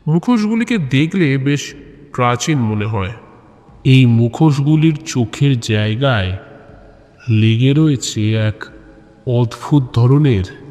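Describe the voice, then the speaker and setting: Male narrator for horror audio fiction: extremely slow, suspenseful pauses, mid-range pitch, long reverb. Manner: suspenseful, dramatic pauses between short phrases. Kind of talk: scripted horror story narration.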